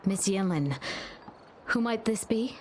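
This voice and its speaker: A woman's voice: medium pitch, expressive, slow, darkish tone, a little hiss or hum. A woman playing an anxious, defensive mother in a video game, speaking with emotion. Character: anxious, defensive mother clinging to her son.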